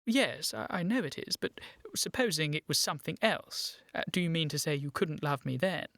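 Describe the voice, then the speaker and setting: Flustered young gentleman, dry sound. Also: fairly high pitch, animated, normal speed, dry recording. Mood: anxious devotion. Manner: hesitant, flustered, stammering, earnest. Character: nervous, lovestruck English gentleman of twenty-nine. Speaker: actor in a radio play. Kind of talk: theatrical comedy-of-manners radio acting.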